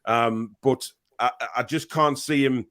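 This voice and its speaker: A man's voice: medium pitch, steady tone, unhurried, a bit bright. A man hosting a casual live-stream chat, talking with plenty of fillers and restarts. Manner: hesitant, many fillers and restarts. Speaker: podcast host.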